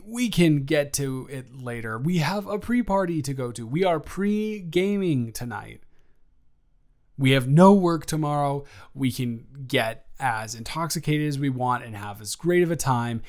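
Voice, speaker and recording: A man playing a flustered, evasive young roommate in an improvised audio roleplay, rambling and halting with theatrical exasperation. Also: medium pitch, darkish tone. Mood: playfully evasive. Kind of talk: improvised intimate roleplay monologue.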